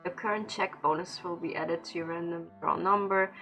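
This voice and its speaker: A woman's voice: on the low side, steady tone, moderate pace, bright, forceful tone. A woman doing casual, informal gameplay commentary on a livestream, with a self-deprecating streak. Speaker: game streamer. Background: game dialogue and music.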